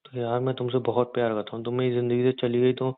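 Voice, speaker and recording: Young husband, played by a male actor, coaxing, insistent and sulky, mid-pitched voice, tinny phone-quality audio. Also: completely flat delivery, fast talker, clear, resonant tone, natural voice. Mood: wounded, pleading. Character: pleading, sulky young husband wooing his wife. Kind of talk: staged intimate phone-call style conversation. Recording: thin, phone-like narrowband audio.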